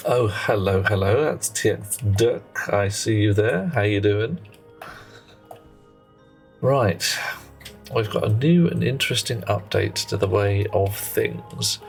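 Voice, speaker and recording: Male game streamer, unhurried and gravelly, chatty with his viewers, faint music behind. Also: lowish voice. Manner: rambling, self-correcting, chatty with viewers. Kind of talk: casual live gameplay commentary. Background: faint music and a child playing nearby.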